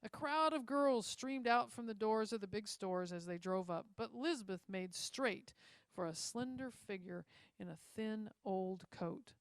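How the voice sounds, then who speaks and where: A woman reading a story aloud in an expressive storytelling style. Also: medium pitch, a little slow, clear, resonant tone, dry recording. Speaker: story reader.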